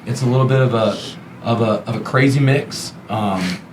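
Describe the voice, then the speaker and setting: A man's voice: medium pitch, normal speed, roomy sound. A man from Texas with an American accent, talking casually as the host of a business podcast. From Texas, United States. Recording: clear background noise, room ambience.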